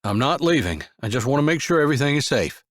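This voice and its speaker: A man doing a steady dad, calm, reassuring, lively intonation, dry sound. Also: mid-range voice, quick pace, no echo, pitched above the performer's own voice. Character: steady, calm father reassuring his kids.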